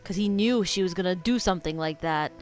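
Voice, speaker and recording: A woman's voice: fairly low pitch, brisk, soft background music. A woman streamer reacting casually to a video game, informal and opinionated, reading text aloud with some stumbles.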